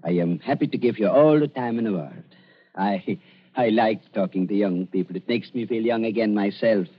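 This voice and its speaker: An actor playing an elderly German doctor in a radio drama, fawning and falsely soft-hearted, with halting, repetitive speech and a German accent. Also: male voice, on the low side, a little hiss or hum, lightly acted. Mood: oily, genial. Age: older. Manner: fawning, halting, repeats words. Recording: dull lo-fi radio recording.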